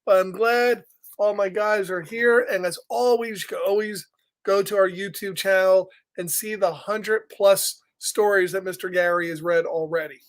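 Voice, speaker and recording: A man's voice: sits high, steady tone, normal speed. A man hosting a live picture-book reading for children, chatty and exclamatory, often breaking off to ask listeners questions. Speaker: children's story reader and host. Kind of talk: live picture-book read-aloud with casual asides.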